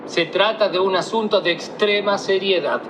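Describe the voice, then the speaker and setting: An actor with an Argentine accent playing an earnest, self-important police inspector in a radio play, speaking formally and pompously. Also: male voice, high voice, moderate pace, close to an everyday voice. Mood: grave, formal. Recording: noticeable background noise.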